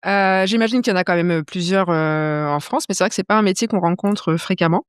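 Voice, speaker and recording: A woman hosting a podcast, asking questions in a relaxed, chatty way with frequent fillers. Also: lowish voice, quick pace, clear, resonant tone, dry recording, studio quality. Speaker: podcast host. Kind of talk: casual interview chat.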